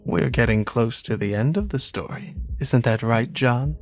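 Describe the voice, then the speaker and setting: An actor playing a smug, mocking psychopath who talks in a theatrical, condescending, taunting way in a video game cutscene. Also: male voice, mid-range voice, normal speed, dry recording, clean studio sound, soft background music, natural voice. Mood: probing, smug. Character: smug, mocking male psychopath toying with a detective.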